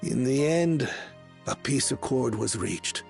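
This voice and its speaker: Slow, gravelly, theatrical storyteller. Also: male voice, medium pitch, expressive, a little mellow, faint music behind. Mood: solemn pride. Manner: formal, measured, theatrical delivery. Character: solemn male storyteller recounting a legendary banquet. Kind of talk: scripted narration in a fantasy video game.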